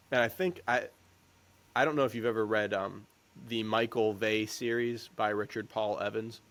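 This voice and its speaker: Guy co-host on a podcast, fairly low voice, rambly casual talk. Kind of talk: casual discussion.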